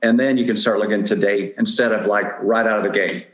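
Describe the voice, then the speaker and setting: A man's voice: fairly low pitch, steady tone, brisk, fairly bright tone. Middle-aged man in an echoey room. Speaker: podcast guest giving dating advice. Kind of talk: candid conversational interview.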